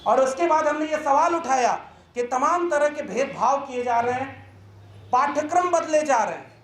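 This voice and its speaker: A man giving an emphatic, indignant, oratorical speech as a professor at a press conference. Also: very high-pitched. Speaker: university professor and activist speaker. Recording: echoey room with faint background noise.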